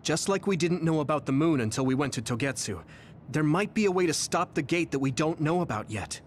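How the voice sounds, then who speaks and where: Man acting an earnest young soldier, lightly pitched. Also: expressive, brisk, slight background noise, close to an everyday voice. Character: earnest, determined young male soldier, resolute and burdened. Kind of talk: video game cutscene acting. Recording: dramatic music behind the voice.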